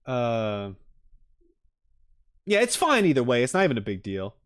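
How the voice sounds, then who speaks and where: A man's voice: medium pitch, wildly swooping pitch, unhurried, a bit bright, smooth, no room sound. A man streaming casual reaction commentary, rambling expressively and thinking aloud into a close mic. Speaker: streamer reacting to game videos.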